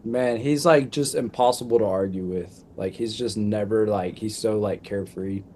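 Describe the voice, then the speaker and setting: Eager young guy from a band, low voice, bit of faint noise behind. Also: warm tone. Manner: upbeat, eager, talks in quick bursts. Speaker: band member guest. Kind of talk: casual interview chat.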